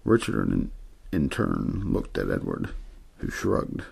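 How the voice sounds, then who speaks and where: A man reading a story aloud as an amateur ASMR narrator, in a low-key, untheatrical way with the odd stumble. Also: huge pitch swings, slow, vocal fry, a little mellow, breathy edge. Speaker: amateur audiobook reader.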